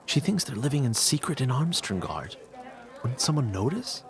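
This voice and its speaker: A man plays a reserved male officer of a fantasy city guard. He sounds cool-headed and wistful, measured and a little hesitant, while his pitch still rises and falls widely. It is audio drama acting. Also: sing-song, soft background music. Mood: guarded curiosity.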